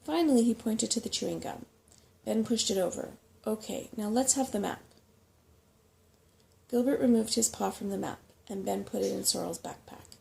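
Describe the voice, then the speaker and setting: A woman reading a storybook in a soft-spoken ASMR style, as a bedtime narrator. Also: mid-range voice, brisk, creaky. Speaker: reader of a bedtime story for relaxation.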